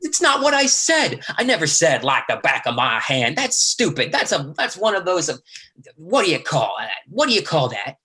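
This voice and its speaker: An actor reading a domineering, rough older brother in a play, with a husky voice and badgering, slangy speech. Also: male voice, a little high, huge pitch swings, moderate pace, bright, forceful tone, heavily acted. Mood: impatient, irritated.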